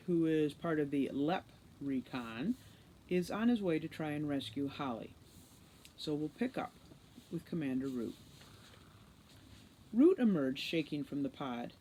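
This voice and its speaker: Female storybook reader, lowish voice, expressive read-aloud narration. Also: slight background noise, low-quality recording. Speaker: audiobook reader, teacher.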